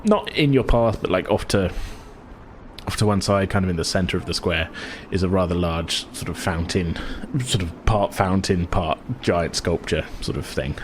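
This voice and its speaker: A man's voice: low voice, normal speed, slight background noise. A man running a tabletop game as game master, narrating casually in a rambling, self-correcting way.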